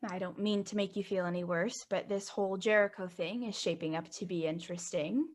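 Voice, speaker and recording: A woman's voice: medium pitch, steady tone, fairly quick, close to an everyday voice. A woman reading a ditzy, opportunistic young woman in a virtual staged reading, chatty and gossipy. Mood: curious. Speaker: also reads stage directions. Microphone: video call.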